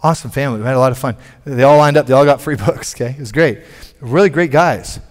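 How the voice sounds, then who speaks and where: A man in his forties, a pastor preaching a sermon from a church stage on a headset mic, animated and conversational, with frequent restarts. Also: mid-range voice, quick pace. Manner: animated, moving about the stage and gesturing.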